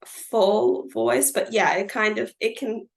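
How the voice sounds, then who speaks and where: A woman host with a gravelly, fry-heavy voice in a mid-range pitch. She talks casually and hedges her questions with plenty of "like.". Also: expressive, slow pace. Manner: asks questions, hedging with "like". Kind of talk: casual conversation.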